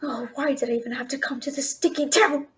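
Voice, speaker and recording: A woman playing a brash, fast-talking young female thief and failed alchemist in a fantasy audio roleplay, chattering with sarcastic, exasperated bluster. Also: sits high, steady tone, a bit bright. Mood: flustered, exasperated. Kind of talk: fantasy audio roleplay, addressing an unseen listener.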